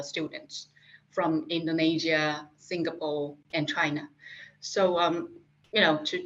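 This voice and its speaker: Interview guest: middle-aged woman, Japanese accent, low voice, halting delivery, dull lo-fi recording. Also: fairly bright tone. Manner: halting, many fillers and restarts. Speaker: guest, industry specialist. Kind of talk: interview answers. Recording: dull lo-fi sound.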